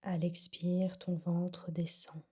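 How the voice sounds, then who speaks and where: A woman guiding a relaxation session as a yoga nidra instructor, soothing and unhurried, with phrases that trail off softly. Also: on the low side, monotone, slightly rough. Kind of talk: guided meditation, calm instruction.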